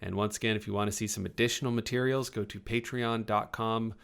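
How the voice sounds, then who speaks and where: A man with a bright, forceful edge to a lowish voice chats as host and player of a tabletop game. His phrasing is informal and a bit halting. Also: fairly even intonation, vocal fry, smooth. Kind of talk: casual chat.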